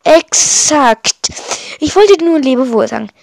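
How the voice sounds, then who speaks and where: A woman's voice: high voice, animated, a little slow, creaky, no echo, full character voice, rough recording. A woman voicing a cautious, sceptical villager boy in a storybook, blunt and full of doubt. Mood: teasing doubt.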